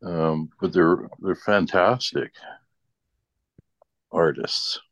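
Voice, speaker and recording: A man with a low voice talks casually and at a slow pace. The sound is dry, with no room around it. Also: steady tone, a bit bright, dry recording. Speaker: discussion participant. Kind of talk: casual chat.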